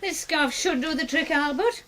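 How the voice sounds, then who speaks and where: A woman, playing a brisk, exasperated, practical sister and medic in a radio drama. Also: sits high, close to an everyday voice. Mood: brisk, caring. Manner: brisk, matronly. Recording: noticeable background noise.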